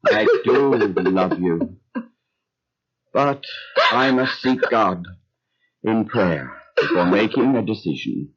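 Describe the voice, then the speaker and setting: A man playing an earnest, devout biblical patriarch and husband in a radio drama, speaking solemnly and deliberately. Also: on the low side, lively intonation. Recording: muffled retro audio drama sound.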